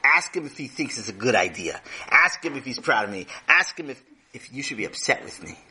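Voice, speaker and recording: A man's voice: mid-range voice, sing-song, fairly quick, sharp tone, husky, exaggerated voice. A man doing a rambling cheeky boy. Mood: sheepish. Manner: rambling, stammering excuses. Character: cheeky young boy making excuses.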